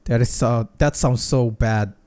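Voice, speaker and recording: A man's voice: medium pitch, measured pace, background noise. A man casually reacting to a game playthrough as a streamer, slangy and reactive. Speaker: gaming streamer.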